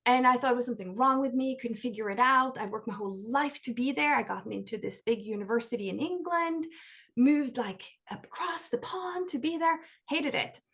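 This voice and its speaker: Woman, from Norway, interview guest, chatty, lots of fillers, fairly high voice. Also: a little fast, fairly bright tone. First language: Norwegian. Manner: chatty, many "like" fillers, laughs often. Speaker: guest, life coach and author. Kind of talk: casual interview storytelling.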